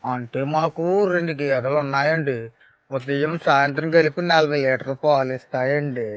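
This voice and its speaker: A man, playing a naive villager in a scripted phone comedy. He rambles and breaks into sudden loud outbursts. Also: medium pitch, steady tone, moderate pace, no room sound, lo-fi sound.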